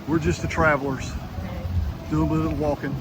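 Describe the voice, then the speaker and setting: A man's voice: a little high, flat delivery, normal speed, background noise. A man narrating a casual travel vlog outdoors in a laid-back, folksy manner. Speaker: travel vlogger. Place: outdoors on walkways, boat and island. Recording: outdoors with music and crowd behind.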